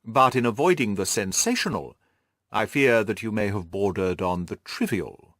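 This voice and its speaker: A man's voice: fairly low pitch, lively intonation, normal speed, a bit bright, no room sound, studio quality. A man voicing a haughty, disputatious detective who lectures his friend pompously in an audiobook. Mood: critical. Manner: pompous, didactic. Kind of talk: audiobook character dialogue.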